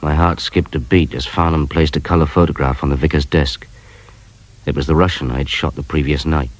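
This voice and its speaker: A man's voice: very deep voice, fairly even intonation, a little fast, poor audio. An actor playing a dour, dry-witted police inspector who narrates his case in a radio crime drama. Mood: grim, measured.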